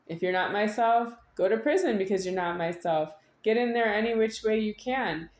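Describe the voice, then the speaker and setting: A woman giving a rambling, unscripted monologue to an audience, halting at times with drawn-out words and insistent repetition. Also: mid-range voice, darkish tone. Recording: echoey room.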